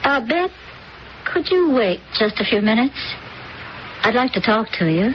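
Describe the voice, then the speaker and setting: A woman's voice: a little high, big pitch swings. A gracious, poised stepmother. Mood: earnest. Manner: gracious, measured. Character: poised stepmother, polite and conciliatory. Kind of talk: vintage radio drama acting. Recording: dull old radio recording with faint hiss.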